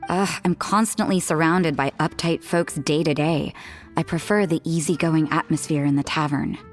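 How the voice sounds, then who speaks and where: Game casting: an actress playing a blunt, unbothered young nun with a low voice. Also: female voice, steady tone, normal speed, natural voice. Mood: dry. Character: cool, aloof young nun who drinks. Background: faint background music.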